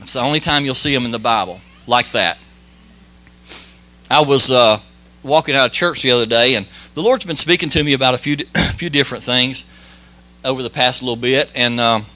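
A man giving an informal church sermon and prayer, folksy and modest, talking in halting phrases with many restarts and fillers. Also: mid-range voice, sharp tone. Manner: modest, plain-spoken. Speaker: lay preacher.

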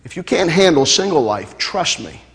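A male pastor with a notably high voice preaches to a congregation, stacking repeated phrases as he builds to loud, fervent peaks. He breaks off for jokes with the crowd. His voice carries a long reverb from a large hall. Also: big pitch swings, moderate pace, creaky. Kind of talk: sermon.

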